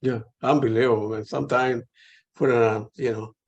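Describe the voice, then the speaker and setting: A man's voice: mid-range voice, steady tone, moderate pace, dull audio. A man, interviewing a guest in a casual chat, asking long rambling questions with fillers. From Chile.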